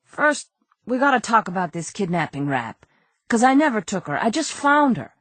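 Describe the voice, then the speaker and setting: Woman plays a sniveling two-bit crook, high and whiny, rough grammar, nervous. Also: very animated, moderate pace, fairly bright tone, no room sound. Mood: whiny pleading. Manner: whining, pleading, rough grammar.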